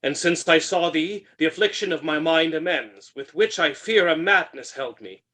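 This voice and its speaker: A man's voice: fairly high pitch, normal speed, sharp tone. A man reading the part of a grieving, bewildered shipwrecked king in an unrehearsed Shakespeare play, with dramatic declamation. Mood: awed, repentant.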